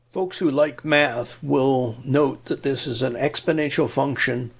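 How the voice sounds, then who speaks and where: A man narrates a technical tutorial at a very unhurried pace. His voice has a noticeable gravelly fry, and he explains methodically in plain words, with a touch of dry humour. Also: mid-range voice, a little mellow. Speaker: presenter of an electronics tutorial.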